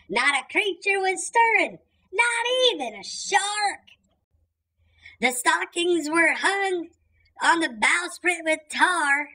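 A man voicing a goofy, excitable childlike storyteller through a pitched-up filter, reading a children's story theatrically. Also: full character voice. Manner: theatrical, drawn-out delivery. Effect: pitched-up cartoon voice filter. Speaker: children's book reader. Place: indoor room, plain wall.

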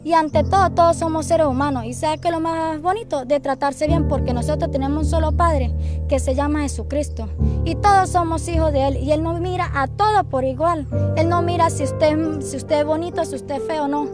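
High-voiced young Venezuelan woman. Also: moderate pace, loud music behind the voice. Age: 20s. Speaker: interviewee, migrant mother. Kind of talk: street interview. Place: outdoors among bushes.

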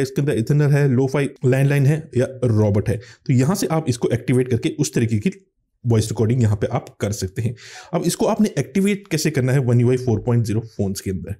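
A man's voice: mid-range voice, normal speed, warm tone. A man hosting a tech channel, explaining phone features step by step in tutorial commentary.

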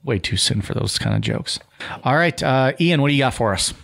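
Young guy hosting a podcast, jokey and casual, mid-pitched voice. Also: animated, a little fast, vocal fry. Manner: joking, runs the show and reads listener shout-outs. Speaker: podcast host.

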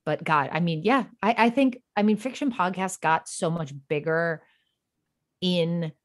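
A woman's voice: fairly low pitch, unhurried. A woman guest on a podcast, chatting casually with emphatic, effusive phrasing and lots of "like". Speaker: podcast guest, writer and fiction podcast creator. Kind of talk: casual chat.

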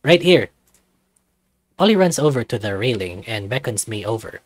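A man's voice: fairly low pitch, sing-song, brisk, slightly rough, dry recording. A man reading visual novel narration aloud as a let's-play narrator.

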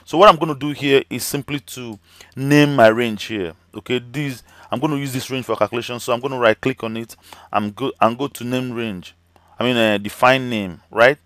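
Man teaching spreadsheets, slightly hoarse. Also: medium pitch, moderate pace, a bit bright, no room sound. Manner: chatty, many fillers and "okay" checks. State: voice slightly cracking, losing his voice. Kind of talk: screen-recorded software tutorial.

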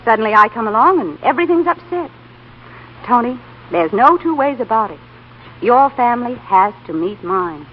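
A woman playing a sensible, affectionate young woman in love, gentle but firm, in 1930s radio acting style. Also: fairly high pitch, very animated, moderate pace. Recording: muffled, hissy 1930s radio broadcast.

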